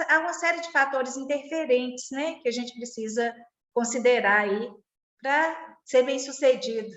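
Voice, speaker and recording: A Brazilian woman teacher, a guest on a video call, talking in a chatty, reflective way. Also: high-pitched. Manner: chatty, reflective, quick to agree. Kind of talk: casual video-call chat. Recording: roomy video call audio.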